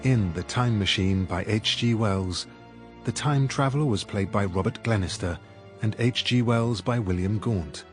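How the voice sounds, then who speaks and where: Radio announcer guy reading credits, slightly low voice, formal, bit of music behind. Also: measured pace.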